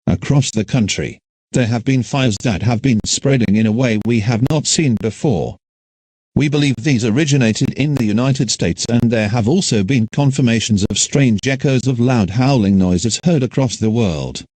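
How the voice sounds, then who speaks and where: A man reads a scripted emergency alert as an announcer in a formal, measured way. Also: mid-range voice, a little mellow, breathy edge, no room sound.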